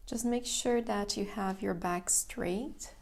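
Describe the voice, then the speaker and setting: A woman leading a guided meditation as teacher, calm, gentle and unhurried, with a soft, sometimes breathy voice. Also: mid-range voice. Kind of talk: guided meditation, slow and soothing.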